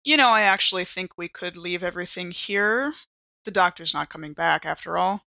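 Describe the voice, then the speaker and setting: A woman's voice: lowish voice, brisk, vocal fry, bright, forceful tone. A woman talking casually as a player in a tabletop role-playing game. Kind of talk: casual in-character game chat.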